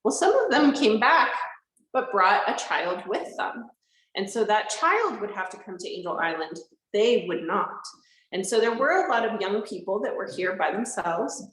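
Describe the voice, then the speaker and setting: A woman's voice: mid-range voice, big pitch swings, moderate pace, a bit bright. Park ranger lady explaining history to students, friendly and simple, echoey old building. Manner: friendly, explains simply, answers viewer questions. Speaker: park interpreter. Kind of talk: educational talk for students. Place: indoors in a large historic barracks building.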